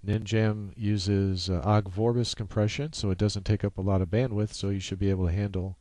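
A man presenting an informal software tutorial, explaining step by step in a halting way. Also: fairly low pitch, steady tone, no echo.

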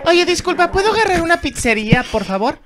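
A prank caller posing as a customer, ordering in a polite, hesitant and halting way. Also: very high-pitched, very animated, crowd noise.

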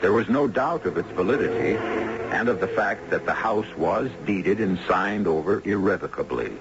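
A man hosting and narrating a 1970s radio mystery drama in a theatrical, deliberate, scripted style. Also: sits low, expressive, over loud music. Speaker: host and narrator. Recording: noisy, dull 1970s radio recording.

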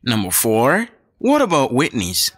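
A man reading scripted English dialogues and exercise questions for a learners' audio book, slow and clearly enunciated. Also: mid-range voice, wildly swooping pitch, fairly bright tone, no echo, clean studio sound. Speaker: textbook audio reader.

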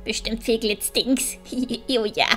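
A woman voicing an excitable, cheeky and greedy little dragon who chatters in broken, childlike grammar. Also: on the high side, husky, full character voice.

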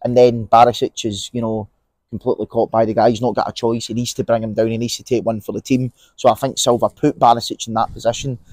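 Young Scottish man, podcast co-host, mid pitch, casual football chat, lapel mic, dry. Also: quick pace, dry recording. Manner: relaxed, opinionated, many fillers and restarts. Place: outdoors in an empty stadium stand.

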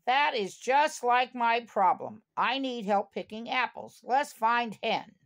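A woman's voice: on the high side, lively intonation, slow. An older woman reading the part of a young girl superhero who needs help, in a plain, earnest manner.

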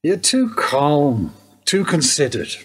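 Man acting veteran detective, dry, shrewd, understated, slightly high voice, radio drama. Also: sing-song, slow, breathy edge, exaggerated voice. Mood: dry, knowing. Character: world-weary, shrewd male detective sergeant near retirement. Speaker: actor in a radio play.

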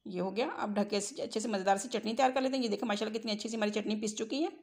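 A woman's voice: mid-range voice, steady tone, very fast, a little mellow. A woman narrates a cooking tutorial as a home cook, explaining step by step in an instructional way. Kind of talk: recipe tutorial voice-over.

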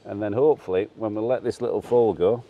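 A man's voice: lowish voice, fairly even intonation, fast talker, dark tone, dull audio. Plain-spoken Yorkshire farmer, middle-aged man. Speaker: farmer and presenter. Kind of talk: informal vlog explaining animal care to camera. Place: large open-sided straw-bedded barn.

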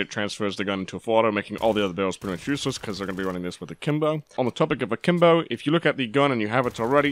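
A man with a fairly low voice hosts a gaming showcase. He talks quickly, running through his explanations in the manner of online video commentary. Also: fairly bright tone, dry recording.

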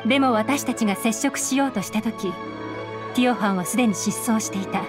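A woman's voice: on the high side, fairly quick, over music, lightly acted. A woman voicing a shrewd, secretive young intelligence agent in an anime game, composed, knowing and slightly teasing. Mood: cool, calculating.

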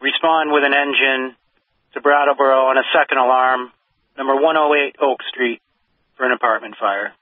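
A man's voice: medium pitch, fairly even intonation, no echo. Male fire dispatcher on dull radio. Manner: formal, measured, repeats each call. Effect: two-way radio. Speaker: fire mutual aid dispatcher. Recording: dull, band-limited radio dispatch audio.

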